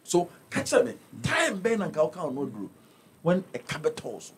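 A man's voice: fairly high pitch, huge pitch swings, a little fast, breathy edge. A Ghanaian man in his thirties hosting a radio interview, prompting his guest with questions in Twi and English from a studio mic. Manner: prompting, reads out listener thanks. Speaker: radio host. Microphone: studio mic, headphones on.

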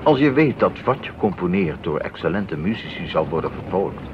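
An actor playing a modest, courteous baroque composer in a Dutch radio drama, measured and formal, on a muffled, noisy recording. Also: male voice, deep voice, sing-song. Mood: modest, content.

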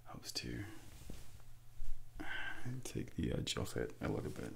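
Young male for ASMR sleep hypnosis: soothing Devil, breathy half-whisper, heavy vocal fry, slow deliberate delivery, close-miked over faint ambient noise. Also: very deep voice, level tone. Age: young adult. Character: calm, soothing Devil guiding a soul into sleep. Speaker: ASMR role-play performer. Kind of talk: ASMR soft speaking, guided sleep hypnosis. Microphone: close binaural microphone.

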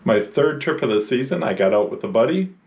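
A man's voice: medium pitch, brisk, background noise. A middle-aged man chatting casually while fishing from a boat on a lake, recorded on an action camera. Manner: relaxed, chatty, cheering on fish. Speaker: fishing vlogger.

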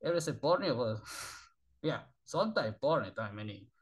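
A tired man, a native Spanish speaker, rambling in halting, repetitive broken English with sighs as he talks to his channel's viewers from a small bedroom on a webcam. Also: mid-range voice, animated, breathy edge, dull audio. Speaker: channel host. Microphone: webcam at desk.

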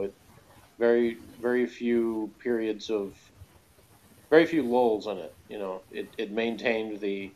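A man's voice: mid-range voice, rough recording. A man giving his opinions as a guest in a casual review discussion, rambling and hesitant, over an online call. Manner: rambling, hesitant, frequent "you know". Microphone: video call. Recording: faint background noise on online call.

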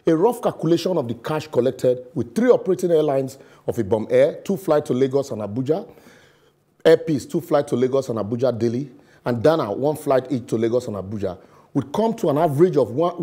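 A man's voice: mid-range voice, expressive, a little fast, dark tone, slightly rough. A middle-aged Nigerian man with a Nigerian accent giving a scripted opinion commentary in a studio, sarcastic and emphatic, dropping into pidgin asides. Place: television studio.

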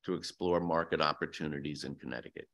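A man's voice: rumbling low, quick pace, gravelly, fairly bright tone. A man in his sixties testifying at a legislative hearing for a business group, hesitant and a little defensive, speaking into a desk microphone in a hearing room. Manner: hesitant, many fillers and restarts, defensive.

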